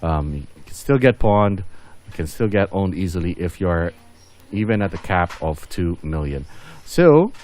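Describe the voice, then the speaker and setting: A man with a fairly low voice gives casual commentary over a video game. He hosts in an unscripted way, rambling a little and thinking aloud as he plays. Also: very animated, measured pace, darkish tone, slight background noise. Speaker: gaming video host.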